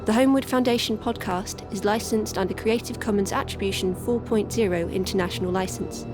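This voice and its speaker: A woman announcer reading scripted end credits for an audio drama in a measured way. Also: mid-range voice. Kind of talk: scripted podcast end credits over music. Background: music.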